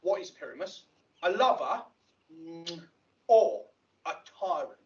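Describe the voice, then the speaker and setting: An actor playing a conceited, eager weaver who declaims pompously in a Shakespeare audio drama. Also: male voice, a little high, sing-song, takes it slowly. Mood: self-important. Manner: pompous, bombastic, theatrical declaiming. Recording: dull lo-fi audio drama playback, distant.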